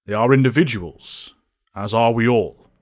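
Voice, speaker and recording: An actor playing a dignified, learned professor, calm and principled, speaking in precise, formal lecturing phrases. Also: male voice, medium pitch, measured pace, no room sound, natural voice. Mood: composed.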